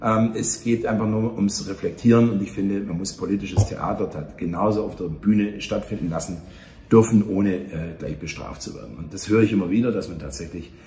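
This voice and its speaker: Low, forceful-toned man in an echoey room. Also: a little fast. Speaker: theatre operator, interview guest. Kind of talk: casual interview talk. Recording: echoey room, faint ambient noise.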